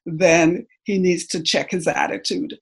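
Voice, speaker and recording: A middle-aged woman from Canada with no accent, giving a personal testimony in church, hesitant and full of pauses and ums. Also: lowish voice, fairly even intonation, gravelly, slightly rough. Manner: hesitant, halting, many ums.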